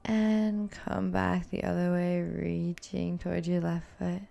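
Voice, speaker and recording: A woman leads a yoga class in a soft-edged, mellow voice with noticeable vocal fry. Her delivery is calm and very even in pitch, each instruction gently cued. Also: slow. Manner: calm, soothing, gently cueing. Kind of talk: guided yoga and meditation instruction.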